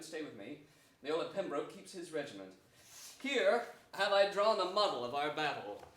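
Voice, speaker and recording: An actor playing a noble, hopeful young rebel earl, declaiming earnestly in a Shakespearean stage reading. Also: male voice, sits high, a bit bright. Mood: resolute. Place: theater stage before an audience, indoors. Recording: echoey theater, distant microphone.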